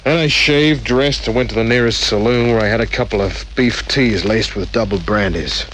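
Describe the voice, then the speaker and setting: A man's voice: lowish voice, normal speed, a bit bright, slight background noise, lightly acted, low-quality recording. An actor playing a tough, wry male private detective in an old-time radio crime drama, narrating and talking in terse hard-boiled phrases. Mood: weary, dogged. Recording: old radio recording, faint music behind.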